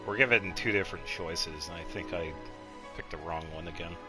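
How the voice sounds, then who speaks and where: A man casually commentating a game on a live stream, chatting with viewers and thinking aloud in a low-key, rambling way. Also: brisk. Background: game music.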